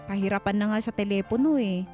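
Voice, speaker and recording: A woman's voice: mid-range voice, fast, darkish tone. A woman co-hosting a radio phone-in, chatting casually in a teasing, inquisitive way. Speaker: radio co-host. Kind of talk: casual phone-in advice chat. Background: music.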